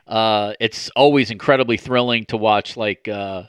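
A man's voice: fairly low pitch, fairly even intonation, moderate pace, smooth, no room sound, clean studio sound. A man hosting a casual sports podcast, rambling with many fillers. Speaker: podcast host.

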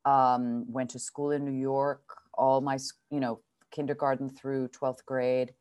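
Deep-ish lady in her 60s telling stories, chatty and theatrical, says darling. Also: flat delivery, measured pace, clear, resonant tone. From Switzerland. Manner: chatty, theatrical, warm endearments. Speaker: guest actress. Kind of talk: relaxed storytelling in conversation.

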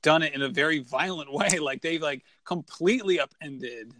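A man's voice: a little high, moderate pace, fairly bright tone. A man hosting a podcast, chatting casually and rambling with many fillers as he asks questions. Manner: rambling, lots of "like", enthusiastic. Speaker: podcast host. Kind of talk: casual interview chat.

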